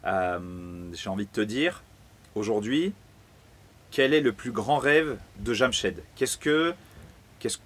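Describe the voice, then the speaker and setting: A man's voice: lowish voice, lively intonation, slow, pauses often, a bit bright. A man hosting an interview, speaking in a friendly, encouraging way with plenty of casual fillers. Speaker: host interviewer.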